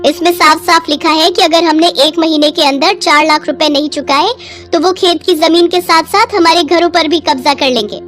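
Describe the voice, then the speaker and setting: A woman's voice: very high voice, steady tone, normal speed, a bit bright, soft background music. A woman voicing a greedy, scheming sister-in-law in a moral story, pushy, scornful and complaining. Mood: complaining, scolding. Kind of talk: Hindi moral story character acting.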